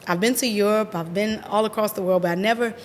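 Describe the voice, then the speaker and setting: A woman with an American accent, a guest in an interview, telling her own story in an expressive, animated way. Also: mid-range voice, quick pace, big-room sound, a little hiss or hum. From USA.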